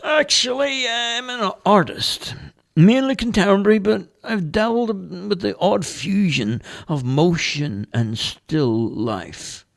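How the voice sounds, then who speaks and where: A man voicing Dale, a homeless street artist who talks earnestly and dreamily, read from a play script with a gravelly edge. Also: high voice, animated, slow, voice pushed up, exaggerated voice. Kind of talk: amateur play read aloud.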